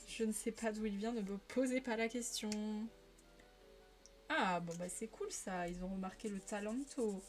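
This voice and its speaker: A woman's voice: medium pitch, moderate pace, faint music behind. A young woman from the Paris region chatting casually on a live stream while she colors, often laughing and answering viewers' messages. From Paris region, France.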